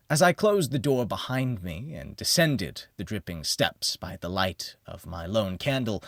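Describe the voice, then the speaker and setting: A man narrating a gothic horror audiobook in a solemn, theatrical manner with deliberate pauses. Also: fairly low pitch, dry recording, studio quality.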